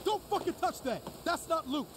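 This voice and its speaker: A man's voice: extremely high pitch, very animated, normal speed, background noise. An actor playing a geeky man who role-plays a pompous fantasy adventurer in theatrical mock-archaic speech for a video game. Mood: panicked anger.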